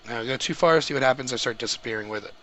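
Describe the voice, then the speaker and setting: A man talking viewers through a software setup step by step in an informal, explanatory way. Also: medium pitch, very fast. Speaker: host of a tech tutorial. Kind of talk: casual step-by-step screen tutorial. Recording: clearly noisy home microphone recording.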